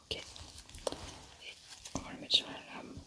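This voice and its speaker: Young woman, whispered voice, ASMR scientist roleplay, hushed step-by-step commentary, hesitant. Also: measured pace, low-quality recording. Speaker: ASMR creator playing a scientist.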